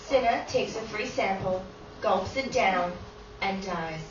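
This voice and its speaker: A woman's voice: medium pitch, takes it slowly, lightly acted. A woman acting as narrator, reading stage directions aloud in a wry, matter-of-fact way. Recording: echoey theatre from far off, dull, noisy.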